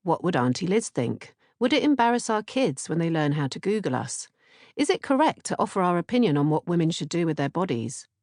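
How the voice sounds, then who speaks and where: A woman's voice: lowish voice, big pitch swings, a little fast, a little mellow, dry recording, studio quality. A woman narrating an audiobook from a script. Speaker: author-narrator reading audiobook, podcast co-host.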